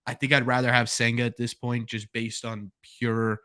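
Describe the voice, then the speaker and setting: A man's voice: mid-range voice, level tone, normal speed, sharp tone, no echo, clean studio sound. Man musing over team options. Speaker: podcast guest. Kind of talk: casual sports analysis.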